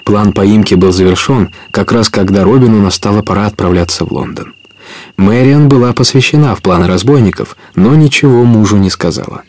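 A man's voice: on the low side, fairly quick, a little mellow. A man narrating an adventure audio play in a scripted storytelling style.

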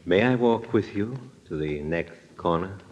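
A very deep-voiced male killer speaks slowly and with eerie courtesy. He is hushed and menacing, heard on a muffled vintage radio recording. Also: expressive, slight background noise. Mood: eerily polite. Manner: slow, formal, eerily polite. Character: soft-spoken menacing strangler who claims he is dead. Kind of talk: old-time radio drama acting.

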